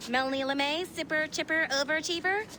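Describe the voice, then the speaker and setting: A woman voicing a brisk, chatty young office technician in a video game, with a peppy, questioning manner. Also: high voice, slight background noise, natural voice. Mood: brisk, urgent.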